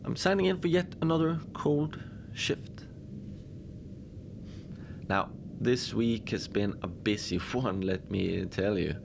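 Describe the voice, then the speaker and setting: A man playing a chatty, wry manager of an Antarctic research facility in an audio drama, talking in a rambling, deadpan way with hesitant asides. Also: fairly low pitch, takes it slowly, vocal fry, pauses often. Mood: wry, weary. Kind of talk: conversational monologue, log-style audio drama. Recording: noisy background ambience.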